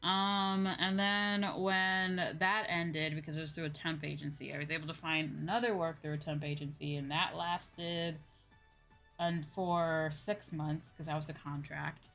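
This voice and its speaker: A woman's voice: deep voice, fairly even intonation, unhurried, fairly bright tone. A young woman, a livestream host chatting casually in an informal, rambling way and repeating phrases for emphasis. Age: young adult. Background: background music.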